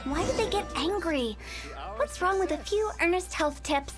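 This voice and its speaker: An actress playing a quirky, unflappable young funeral director in a video game, with breezy, theatrical salesperson patter. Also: female voice, very high voice, animated, moderate pace. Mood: puzzled, undaunted. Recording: game music behind the voice. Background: game music.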